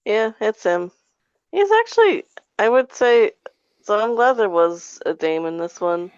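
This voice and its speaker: A woman chatting casually on a podcast, a bit halting as she searches for her words. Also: medium pitch, very animated, clean tone, no echo, dull audio. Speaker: podcast panelist. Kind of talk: casual chat.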